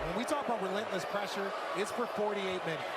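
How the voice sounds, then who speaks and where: High-voiced male basketball analyst, very noisy arena. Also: quick pace, over a noisy crowd. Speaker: basketball color commentator. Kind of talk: live analytical sports commentary. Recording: very noisy live arena broadcast. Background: loud arena ambience, bouncing ball.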